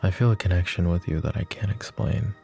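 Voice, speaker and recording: Man playing a possessive mafia boss, very low, raspy fry, soft confessional whispers, faint music behind. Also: fairly quick. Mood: tender, yearning. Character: lovesick, possessive young male mafia boss. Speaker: voice actor. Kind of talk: ASMR roleplay.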